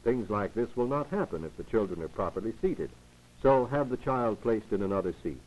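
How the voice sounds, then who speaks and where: A man reading scripted narration for a 1940s instructional film in a didactic, formal, measured manner. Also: fairly low pitch, animated, a little mellow. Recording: muffled, lo-fi 1940s 16mm film soundtrack.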